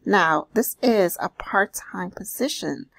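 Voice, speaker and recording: A woman doing an explanatory voice-over, reading out and paraphrasing listings in a deliberate, instructional manner with small check-ins. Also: medium pitch, expressive, vocal fry, fairly bright tone, husky, dry recording. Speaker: host of a job-leads channel.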